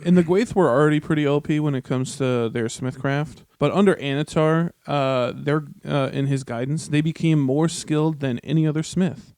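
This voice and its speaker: Slightly high-voiced guy, irreverent podcast banter, slangy, dry close mic sound. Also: moderate pace, hardly any pauses, darkish tone, smooth, no echo. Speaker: podcast co-host. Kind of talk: casual joking chat.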